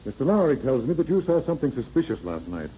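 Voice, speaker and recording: Fast-talking American police captain. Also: male voice, mid-range voice, big pitch swings, mellow, slightly rough. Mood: businesslike. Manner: clipped, matter-of-fact, slangy. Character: brisk, businesslike, polite police detective captain. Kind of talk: 1930s radio acting. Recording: muffled, noisy vintage radio recording.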